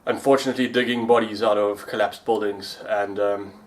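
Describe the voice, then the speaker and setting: A man vlogging in a casual, informal way, pausing as he finds his words. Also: fairly low pitch, fairly even intonation. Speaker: vlogger and amateur pilot. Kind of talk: casual vlog talk.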